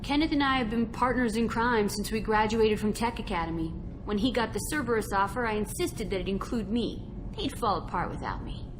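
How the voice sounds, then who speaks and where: A woman voicing an earnest, loyal, gently teasing starship engineer in a video game cutscene. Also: a little high, a little fast, close to an everyday voice. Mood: fond, matter-of-fact. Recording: noisy ship ambience behind.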